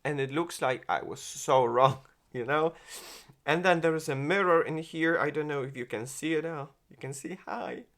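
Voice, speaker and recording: A man in his forties, a beauty vlogger reviewing makeup, who gushes and exclaims often and speaks in halting phrases with many restarts. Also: a little high, fairly bright tone. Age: 40s. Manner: gushing, exclaims and laughs often. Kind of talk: product review and makeup tutorial.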